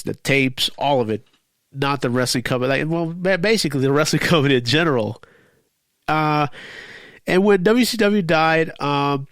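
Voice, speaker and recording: A man's voice: a little high, no room sound, studio quality. A man in his forties with a stuffy, cold-clogged voice, hosting an unscripted podcast in a rambling, profane, heated way. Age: 40s. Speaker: podcast host. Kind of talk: unscripted casual podcast rant.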